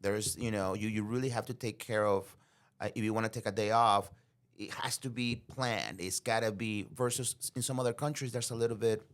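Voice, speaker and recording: A man's voice: on the low side, steady tone. Male podcast host, casual and chatty, supportive interviewer. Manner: chatty, affirming, quick to agree.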